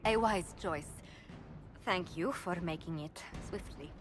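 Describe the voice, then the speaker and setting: An actress playing a poised ambassador and court advisor who speaks formally and politely in a fantasy video game. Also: female voice, lowish voice, slow pace, over music. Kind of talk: dramatic video game acting.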